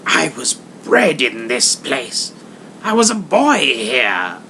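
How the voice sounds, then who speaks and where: A man voicing a fearful, humbled old miser who pleads and exclaims slowly and emphatically. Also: mid-range voice, wildly swooping pitch, pushed and bright, a little hiss or hum, full character voice. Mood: awed, fervent. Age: older.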